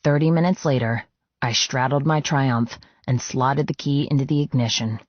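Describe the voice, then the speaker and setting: A man's voice: mid-range voice, normal speed, dry recording. A man narrating a novel in the first person as a scripted, intense and dramatic read. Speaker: audiobook narrator. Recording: dry, slightly dull sound.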